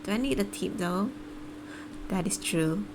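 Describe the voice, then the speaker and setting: A woman live-streaming a game, chatting casually and haltingly as she thinks aloud. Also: mid-range voice, expressive, background noise.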